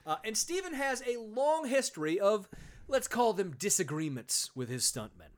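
Male podcast host, fairly high and very animated delivery, sarcastic and profane. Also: moderate pace. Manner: sarcastic, swears, many fillers. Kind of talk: casual comedic podcast chat.

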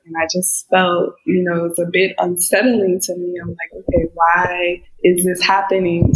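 A woman's voice: fairly low pitch, low-quality recording. A middle-aged woman from Liberia, raised in the United States, speaking as a business coach guest in an earnest, reflective way. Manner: reflective, earnest, with frequent "you know". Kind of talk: casual interview talk.

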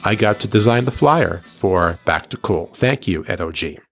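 Male radio personality, low-pitched, easygoing and slangy, reminiscing conversationally over a music bed. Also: animated, moderate pace, gravelly. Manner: easygoing slangy address to listeners. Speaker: radio personality recounting his career. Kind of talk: conversational storytelling.

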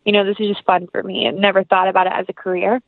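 A young woman with an American accent talks casually as an interview guest. She is earnest and effusive, rambling through her thoughts at a medium pitch. The sound is dry, with little room in it. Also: level tone, rapid-fire, no echo, narrow, tinny audio. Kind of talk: casual interview chat, anecdotal.

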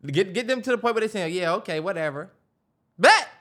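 A man's voice: sits high, lively intonation, brisk, sharp tone. An American man co-hosting a podcast, chatting casually with slangy, profane, emphatic phrasing. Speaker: podcast co-host. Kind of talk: casual chat.

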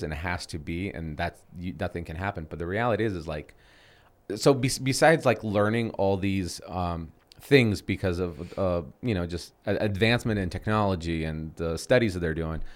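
A man in his thirties talks in a relaxed, meandering way, circling back and restarting his thoughts with lots of fillers. His voice sits on the low side. He sounds like a casual podcast co-host. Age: 30s. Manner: rambling, many restarts and fillers. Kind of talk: casual chat.

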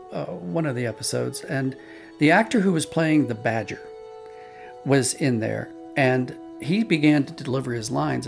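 A man's voice: on the high side, gravelly. A man being interviewed as an audio drama producer, explaining conversationally and telling anecdotes with quoted dialogue.

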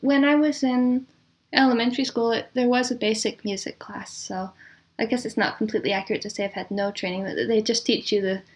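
A woman answering as a composer guest in a casual, chatty interview, quick to laugh. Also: a little high, fairly even intonation.

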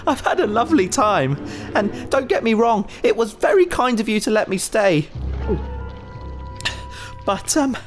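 A man's voice: high voice, big pitch swings, unhurried, long pauses, faint music behind. An actor playing a naive, cheerful young man trapped in an alien's fish tank, rambling and talking his way around trouble in a sci-fi audio drama. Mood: nervous. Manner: naive, breezy, rambling and self-justifying.

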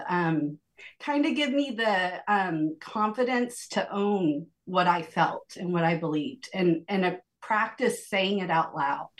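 A woman's voice: lowish voice, low-quality recording. A woman giving a reflective, earnest personal account in a group conversation, searching for her words as she goes. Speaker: group member sharing testimony.

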